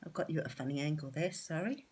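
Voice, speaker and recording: Casting note: Australian woman, low-pitched and stuffed-up from a cold, chatty tarot reader with lots of ums. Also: quick pace, a little mellow, slight background noise. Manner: chatty, enthusiastic, many ums. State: nasal and sore-throated from a cold. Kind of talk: casual tarot reading to camera.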